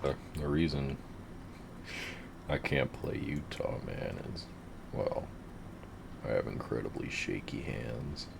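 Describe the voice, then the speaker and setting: A man with a very deep voice giving laid-back, rambling, profane commentary over his game. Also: slow pace, creaky, long pauses, noisy background. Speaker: gamer narrating his play. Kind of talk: casual gameplay commentary.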